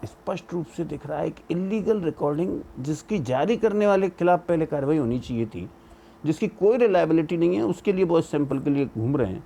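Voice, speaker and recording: A man's voice: fairly high pitch, animated, a little fast, gravelly, mellow. A man giving an explanatory legal commentary, arguing through rhetorical questions and mixing in English legal terms. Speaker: legal educator giving opinion.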